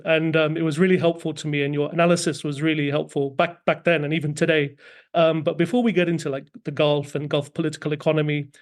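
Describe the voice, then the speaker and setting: A man's voice: on the high side, vocal fry. A man hosting an interview podcast, reading a scripted intro and then asking friendly questions with hesitant fillers. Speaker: podcast host.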